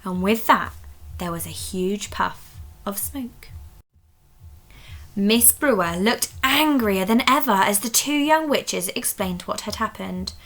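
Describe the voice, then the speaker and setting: Bright-voiced woman reading slowly to children. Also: medium pitch, poor audio. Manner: expressive, dramatic, addresses young listeners with questions. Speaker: story reader at a primary school. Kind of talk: children's story read-aloud.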